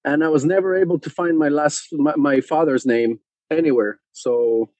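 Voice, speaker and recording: A man's voice: on the high side, fairly even intonation, normal speed, a little mellow, no room sound, low-quality recording. Male guest, hesitant storyteller, very dry close sound. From Mexico. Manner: hesitant, many fillers and restarts. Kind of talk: conversational interview.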